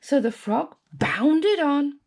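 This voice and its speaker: A woman's voice: high voice, sing-song, slow pace, darkish tone. A woman with a British accent reading a children's story expressively, dramatically drawing out words. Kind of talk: expressive scripted storybook narration.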